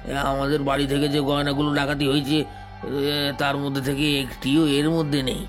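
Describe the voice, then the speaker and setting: A robbery victim played by a man in a detective radio play. He speaks hesitantly in an extremely flat, middle-pitched voice. Soft music sits behind him. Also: moderate pace, close to an everyday voice. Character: distressed robbery victim inspecting recovered goods. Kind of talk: dramatized detective audio story.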